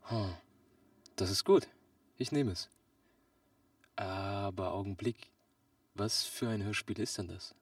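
Male actor as naive radio-play customer: lowish voice, wide-eyed, prone to loud astonishment, close dry sound. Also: takes it slowly, pauses often, no room sound, recorded from a distance. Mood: considering. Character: naive, excitable, easily amazed male customer. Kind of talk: comic sketch audio play.

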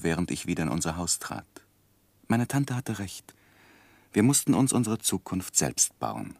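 A man's voice: low-pitched, creaky, no room sound, exaggerated voice. An actor playing an earnest, anxious young husband who also narrates his life in a 1960s German radio drama. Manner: earnest, hesitant in argument.

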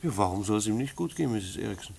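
Husky-voiced, patient mailman. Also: on the low side, lively intonation, very fast, exaggerated voice. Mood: gently reassuring. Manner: reticent, hesitant, gently soothing. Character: kindly, patient male mail carrier, gently evasive. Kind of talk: German radio play acting.

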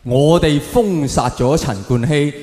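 A man's voice: on the high side, slow, dark tone. A man with a Hong Kong Cantonese accent performing stand-up, giving mock-serious opinions and putting rhetorical questions straight to his audience. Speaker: stand-up comedian. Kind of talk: stand-up comedy routine to a live audience. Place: live venue, on stage before an audience. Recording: echoey hall with long reverb.

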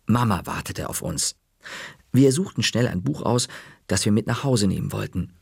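A man narrating a children's story in a lively, expressive storybook reading with shifting pitch. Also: moderate pace, vocal fry, breathy edge, dry recording, clean studio sound.

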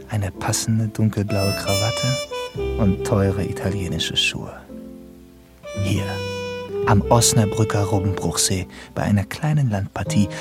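A man's voice: fairly low pitch, natural voice. An actor playing a gallant, theatrical police detective in a radio crime drama, savouring his phrases. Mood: self-satisfied.

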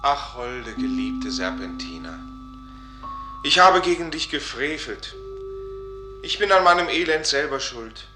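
An actor playing a dreamy, hapless, self-pitying young student in a radio drama, expressive and exclamatory. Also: male voice, medium pitch, unhurried, long pauses, reverberant, soft background music. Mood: anguished. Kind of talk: German radio drama acting.

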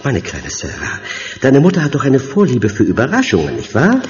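Sadistic hunchbacked scientist for horror audio: low, silkily polite, theatrical, swooping in pitch, in an echoing hall. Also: male voice, normal speed. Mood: bitter, vengeful. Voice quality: calm, deep. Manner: mock-courteous, taunting, theatrical. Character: hunchbacked vengeful mad scientist, sadistically gloating. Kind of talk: horror audio drama acting. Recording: dull sound in a long echoing hall.

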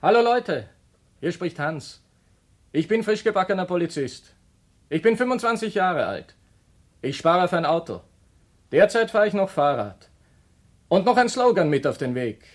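An eager young Viennese cop in a radio play. His voice is high and very animated, earnest as a recruiting slogan. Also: moderate pace, pauses often. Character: eager, dutiful young Viennese rookie policeman, twenty-five.

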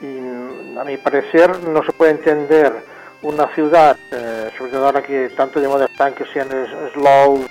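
A man's voice: medium pitch, steady tone, normal speed, a little mellow, soft background music, low-quality recording. A man giving spontaneous interview answers as an expert guest, searching for words and repeating himself. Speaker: interview guest, agricultural engineer. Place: remote call into a radio programme.

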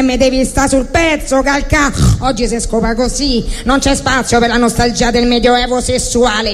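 A man plays a self-absorbed young Roman slacker in a comic stage reading. The voice is high, slangy and insistent, ringing in a hall with faint music behind. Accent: Roman Italian.

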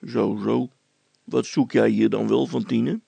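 A man playing a bold, gruff man in a story, scolding a boy in a blunt, dismissive, colloquial way. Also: mid-range voice, fairly even intonation, a little slow, mellow, no echo, full character voice. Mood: wary, probing.

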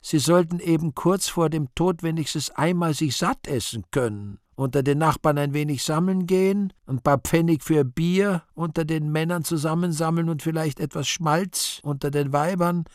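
A man's voice: a little high, normal speed, hardly any pauses, no room sound, studio quality, voice pushed up, natural voice. A man playing a regal, condescending and easily appalled Virgin Mary in a radio play, in a genteel, exclaiming manner. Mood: exasperated, condescending.

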